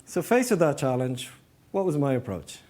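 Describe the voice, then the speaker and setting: Mellow, higher-pitched man giving a talk. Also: sing-song, moderate pace. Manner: explanatory, poses rhetorical questions. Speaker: engineering manager giving a talk. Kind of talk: prepared conference-style presentation.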